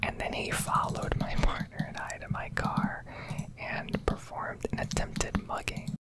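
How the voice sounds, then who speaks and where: A woman whispering a rambling, casually sweary ASMR commentary over gameplay, close to the microphone. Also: a little slow. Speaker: game streamer.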